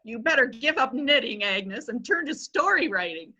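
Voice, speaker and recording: A woman reading the part of a sharp-tongued elderly society lady who questions everything in a teasing, incredulous way. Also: on the high side, sing-song, moderate pace, husky, full character voice. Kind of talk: readers theatre reading.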